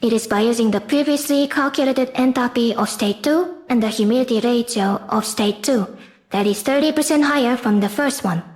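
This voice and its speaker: A woman with a fairly high voice narrates a technical lesson from a script. She is formal and methodical, setting out each step in order like a student presenting a project. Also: darkish tone. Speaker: presenter of an engineering class project.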